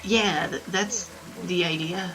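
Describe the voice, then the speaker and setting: A woman's voice: on the low side, slow, a bit bright, rough recording. Woman playing a brash wisecracking 1920s bandit. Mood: scheming. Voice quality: tough-guy slang, brash. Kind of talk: audio drama acting.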